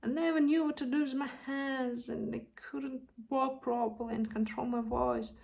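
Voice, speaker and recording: A woman playing a distraught, exhausted young actress in a theatrical stage monologue, halting and repeating herself, breathy and shifting between hushed and loud delivery. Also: high voice, animated, heavily acted. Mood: downhearted, wistful.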